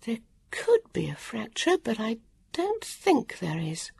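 A woman's voice: fairly high pitch, wildly swooping pitch, slow, creaky, a little mellow, husky, no room sound, pitched above the performer's own voice, full character voice. A woman voicing a harassed, irritable grown woman who guides travellers, in a brusque, impatient manner. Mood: distracted. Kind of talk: audiobook character voice.

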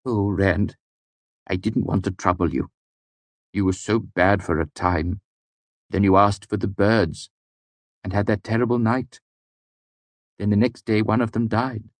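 A man's voice: on the low side, very animated, normal speed, darkish tone, slightly rough, no room sound, pitched above the performer's own voice, exaggerated voice. A man voicing a portly, nervous male manservant whose anxious words tumble out over each other. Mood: tearful pleading.

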